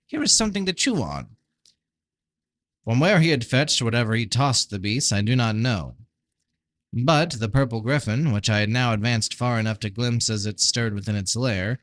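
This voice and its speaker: A man narrates a fantasy novel in a tired voice. His pitch sits in the middle of the male range, and the recording is close and dry. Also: a bit bright, dry recording. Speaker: amateur audiobook reader.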